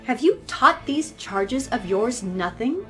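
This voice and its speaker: An actress playing a stern, zealous religious leader in an audio drama, speaking in a formal, commanding, preaching way. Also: female voice, a little high, expressive, slow pace. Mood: stern, accusing. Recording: music playing behind the voice.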